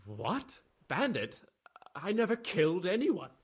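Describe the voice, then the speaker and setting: A man playing a frightened young stable lad in a game cutscene, stammering and halting, with a husky voice. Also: sits high, wildly swooping pitch, full character voice. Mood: defensive, nervous. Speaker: video game character, witness being questioned. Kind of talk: dramatic game cutscene acting.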